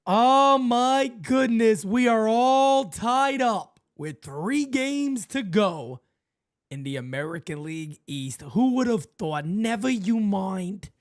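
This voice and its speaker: A man co-hosting a casual sports chat show, talking in an animated way that swings into loud excited outbursts. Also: sits high, slow. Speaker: podcast co-host.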